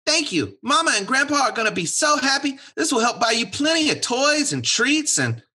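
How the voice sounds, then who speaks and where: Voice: high-pitched, sing-song, moderate pace, bright, forceful tone, slightly rough, exaggerated voice. An actor playing an eager, earnest, folksy country boy in a radio play. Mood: tender, excited. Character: eager, tender country boy, devoted to his pet.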